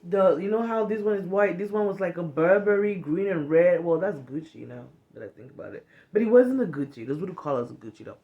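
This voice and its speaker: A woman in her thirties from Cameroon, talking frankly to a phone camera in a small bedroom, animated and swearing freely as she speaks about healing from trauma. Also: lowish voice, brisk, few pauses, highs cut off. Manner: frank and animated, swearing freely, acts out little quoted lines. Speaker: vlogger. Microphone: phone camera at arm's length.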